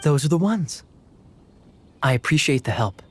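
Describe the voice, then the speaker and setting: A man voicing a suave, sly young knight captain in a video game, courteous and teasing in a smooth, formal way. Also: fairly high pitch, animated, normal speed. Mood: sly amusement. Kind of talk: video game cutscene acting.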